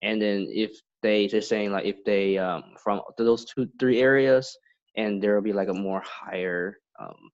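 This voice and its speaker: A young man from Beijing with a Chinese accent, chatting casually as a guest and often pausing to search for words. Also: lowish voice, fairly even intonation, clear, resonant tone, dull audio. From Beijing, China. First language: Mandarin Chinese. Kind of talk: casual chat.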